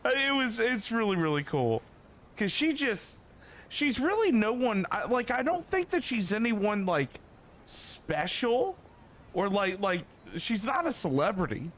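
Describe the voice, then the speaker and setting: A man's voice: sits high, very animated, a little slow, fairly bright tone. A man streaming a game and chatting casually in a rambling, informal way. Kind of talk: casual livestream chat. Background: faint music.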